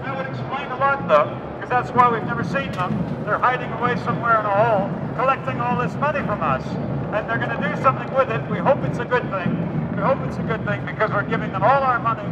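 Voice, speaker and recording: A man's voice: very high-pitched, fast talker, highs cut off. A man speaking off the cuff to the street through a megaphone, mock-earnest and repeating phrases for emphasis. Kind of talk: improvised public speaking in conversation. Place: outdoors on a central London street. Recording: distant, echoey, very noisy city street. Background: loud traffic and urban ambience.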